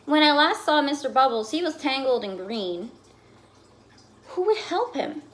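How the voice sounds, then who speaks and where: Woman reading as a dramatic, fussy pet goldfish, swooping pitch. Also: unhurried, exaggerated voice. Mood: wistful, worried. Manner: dramatic, exasperated, expressive storytelling. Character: fussy, put-upon pet goldfish telling its diary. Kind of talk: expressive children's picture book read-aloud.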